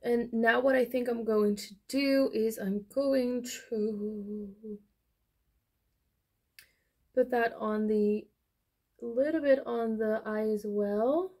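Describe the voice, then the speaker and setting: A woman's voice: on the high side, steady tone, slow pace, pauses often, darkish tone. A young woman chatting casually through a makeup tutorial, rambling with many fillers. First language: Dutch. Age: young adult. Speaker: beauty vlogger. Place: small bedroom, indoors.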